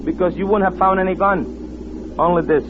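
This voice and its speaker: A man's voice: sits high, fairly even intonation, normal speed, very noisy, close to an everyday voice. A man acting a sly, menacing foreign schemer in an old radio thriller, with a sardonic, mock-polite manner. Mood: smug, menacing. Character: sly, teasing foreign man held at gunpoint, menacing when armed. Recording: muffled vintage radio recording with music.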